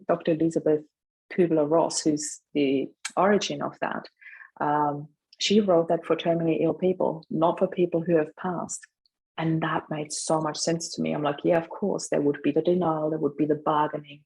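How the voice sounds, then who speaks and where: Middle-aged woman, husky and creaky, telling her story. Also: low-pitched, darkish tone, rough recording. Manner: reflective, emphatic, acts out remembered remarks. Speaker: podcast guest, grief coach. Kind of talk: personal storytelling in an interview.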